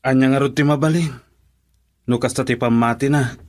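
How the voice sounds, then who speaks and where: A man's voice: medium pitch, steady tone, brisk, close to an everyday voice. A man playing a blunt friend with an Ilocano accent. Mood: firm. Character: frank male friend giving blunt advice. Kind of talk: radio drama acting.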